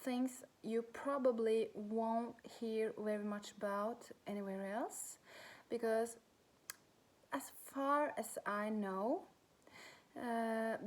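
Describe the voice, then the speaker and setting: A woman in her thirties with a Norwegian accent speaks in a soft, hesitant way, often pausing to search for the right English word. Her delivery is unhurried and slow. She addresses viewers directly in a personal vlog. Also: medium pitch. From Norway. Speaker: spiritual coach.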